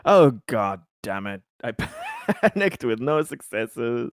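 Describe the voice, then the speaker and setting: A man chatting casually as a player in a tabletop game, playful and expressive. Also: medium pitch, slow pace, no room sound, clean studio sound.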